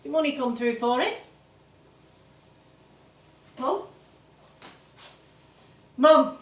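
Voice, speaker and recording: Blunt, fussing daughter. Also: female voice, high-pitched, animated, drawn-out words, a bit bright, heavily acted. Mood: concerned. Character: practical, protective, exasperated grown daughter of an elderly performer. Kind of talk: naturalistic stage play acting. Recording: roomy, distant stage recording, faint noise.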